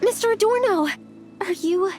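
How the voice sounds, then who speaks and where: A woman's voice: extremely high pitch, normal speed, darkish tone, faint music behind. An actress voicing a clinical, inquisitive young female researcher in a video game, precise and earnest. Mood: concerned.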